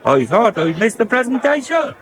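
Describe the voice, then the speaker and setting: A man's voice: very high-pitched, very animated, moderate pace, a bit bright, full character voice. An actor playing a droll, grumbling, wheedling countryman with a West Country accent in a 1980s radio soap. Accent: English West Country. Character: wheedling rustic countryman at the village pub, fond of pints. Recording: pub crowd chatter behind.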